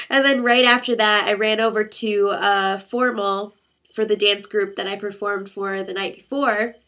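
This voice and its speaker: Young woman, stuffy sick voice, gravelly, bright tone, rambling vlog chatter. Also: medium pitch. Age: young adult. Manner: chatty, rambling storytelling with many fillers. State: congested, sick voice. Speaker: vlogger. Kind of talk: casual chat to camera.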